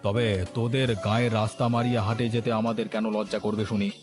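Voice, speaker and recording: A man's voice: fairly low pitch, flat delivery, quick pace, music in the background, natural voice. A man playing a stubborn, feisty old village man in a cartoon, answering back defiantly. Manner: defiant, retorting. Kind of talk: Bengali cartoon dialogue.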